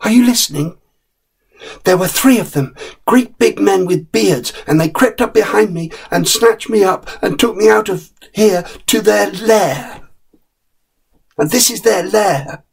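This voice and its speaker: A man's voice: high-pitched, measured pace, creaky, husky, pitched above the performer's own voice, exaggerated voice. A man voicing a small, tired boy in a storybook, bravely spinning a tale in an earnest, childlike, breathless way. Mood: earnest, sleepy imagining. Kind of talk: dramatic short story reading.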